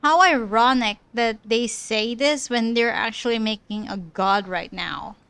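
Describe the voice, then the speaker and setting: A woman's voice: a little high, a little slow, fairly bright tone, smooth, no echo. A woman game streamer giving casual, informal live reactions in short asides.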